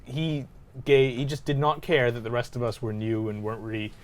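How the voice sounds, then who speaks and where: A man's voice: medium pitch, brisk. A man co-hosting a gaming podcast, chatting casually with a teasing, bantering manner. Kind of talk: casual chat about video games.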